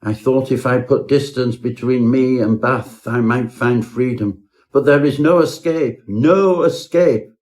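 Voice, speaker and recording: A man's voice: mid-range voice, unhurried, a little mellow, natural voice. A man voicing a terrified, hulking fellow who believes himself doomed, anguished and melodramatic in a ghost story. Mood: desperate, anguished.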